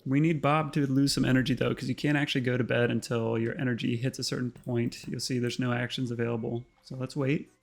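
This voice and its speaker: A man hosting a casual tutorial, chatty and full of ums, his voice slightly hoarse. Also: medium pitch, level tone, fast talker, hardly any pauses. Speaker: host. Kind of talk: casual screen-recorded tutorial narration.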